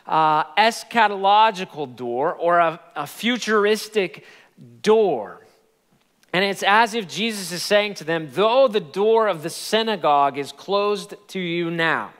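A man in his forties preaching a sermon on a large church stage into a headset mic, animated and emphatic. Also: fairly high pitch. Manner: animated, gesturing preacher with rising emphatic peaks. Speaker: pastor. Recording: long hall reverb.

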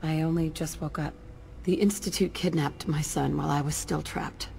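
A woman's voice: low voice, steady tone, moderate pace, gravelly, darkish tone, a little hiss or hum. An actress playing a hard, ruthless woman commander in a video game, blunt and unsentimental. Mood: firm. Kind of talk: dramatic video game dialogue.

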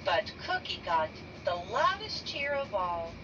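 A woman reading a children's picture book aloud slowly and deliberately, raising her pitch for the characters' lines. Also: sing-song. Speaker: read-aloud narrator. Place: bedroom, indoors. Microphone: phone camera held over the book. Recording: dull lo-fi sound from a distance with noise.

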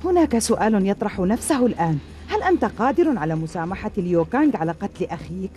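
An actress playing a fiery, distrustful young woman fighter with a sharp, challenging manner in a dubbed cartoon. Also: medium pitch, very animated, quick pace, natural voice. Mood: suspicious. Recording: loud music behind the voice.

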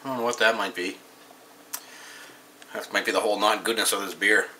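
Thirties guy, casual home reviewer, bright-toned, loose and rambling delivery. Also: on the low side, fairly even intonation, brisk, slight background noise, low-quality recording. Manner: rambling, offhand, reads label aloud. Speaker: beer reviewer. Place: small room at home, indoors.

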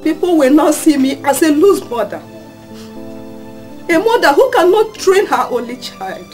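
A woman's voice: high-pitched, sing-song, moderate pace, darkish tone, faint music behind. An actress playing a distraught mother who bitterly reproaches her daughter in a family drama. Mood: reproachful. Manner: reproachful, lamenting. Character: distraught middle-aged mother, scolding and bitter.

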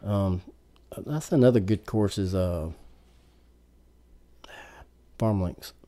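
A man's voice: on the low side, takes it slowly, dark tone. A man chatting casually as a podcast guest, laid-back and plain-spoken. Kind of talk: casual chat about golf.

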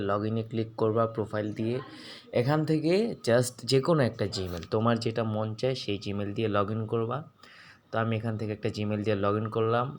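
Man giving step-by-step instructions. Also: medium pitch. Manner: instructing, often checking with short tag questions. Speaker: tutorial instructor. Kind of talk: informal step-by-step app tutorial.

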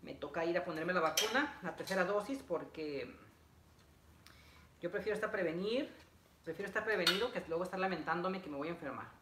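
A man's voice: high voice, fairly quick. Young man, casual livestream chat, repeats himself, roomy echo, faint background noise and clicks. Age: young adult. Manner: chatty, reads out greetings, repeats phrases. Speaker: vlogger. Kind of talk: casual livestream chat with viewers while assembling furniture. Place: living room at home. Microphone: camera a few feet away. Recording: echoey room, noisy, clicks.

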